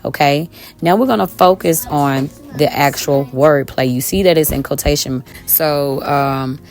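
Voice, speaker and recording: A woman with a raspy, slightly hoarse voice, teaching scripture to her viewers from home in a casual way, calling them y'all with many ums. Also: low-pitched, measured pace, a little mellow. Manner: talks directly to viewers as y'all, with many um fillers. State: a little hoarse. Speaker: online Bible teacher. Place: at home with her children around.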